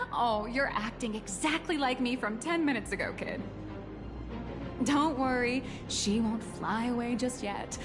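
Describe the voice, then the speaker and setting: A woman's voice: a little high, very animated, takes it slowly, long pauses, music in the background. A woman voicing a confident, teasing young female tour guide in a video game.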